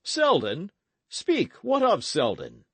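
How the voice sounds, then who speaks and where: A man voicing a gruff, wrathful old knight in an audiobook, speaking in archaic, commanding tones. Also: medium pitch, big pitch swings, unhurried, dry recording, pitched above the performer's own voice. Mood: raging. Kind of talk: audiobook character reading.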